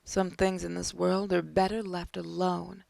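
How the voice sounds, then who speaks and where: A woman's voice: fairly low pitch, level tone, unhurried, vocal fry, darkish tone, dry recording. A woman hosting a gaming video, reading visual novel text aloud expressively with casual commentary.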